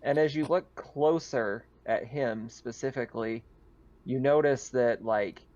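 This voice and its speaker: A man's voice: medium pitch, a little slow, a little mellow, lo-fi sound. A man running a tabletop horror game as game master over online voice chat, describing scenes in casual, conversational talk. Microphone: online voice call.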